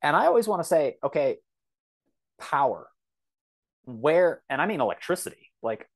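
A man speaking as a podcast guest in a reflective, conversational way, often halting and circling back with asides. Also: fairly high pitch, very animated. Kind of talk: thoughtful conversational interview.

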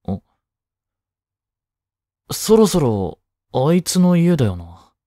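A man's voice: on the high side, big pitch swings, slow, warm tone, no room sound, studio quality. A man playing a flustered teenage boy jealous over his childhood friend in a romantic voice drama, boyish and hesitant. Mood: distracted, brooding. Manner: boyish, hesitant, muttering to himself. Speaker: amateur voice-drama actor. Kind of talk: Japanese romantic voice drama acting.

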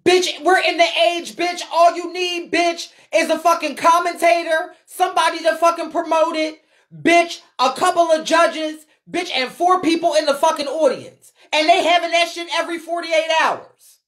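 A woman's voice: extremely high pitch, steady tone, normal speed, a bit bright. A woman ranting off the cuff on a livestream, blunt and emphatic, swearing freely in heavy slang and repeating phrases to drive points home. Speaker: livestream host, ballroom scene commentator. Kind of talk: unscripted livestream rant.

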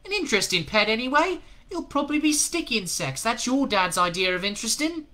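Bossy young girl, scornful and high-pitched, voiced by a male narrator. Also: very animated, fairly quick, sharp tone, full character voice.

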